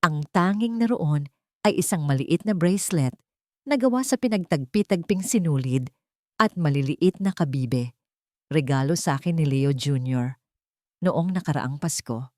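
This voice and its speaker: A woman's voice: deep voice, lively intonation, a little slow, a little mellow, no room sound, clean studio sound. A woman reading a scripted first-person dramatic story as an audio narrator.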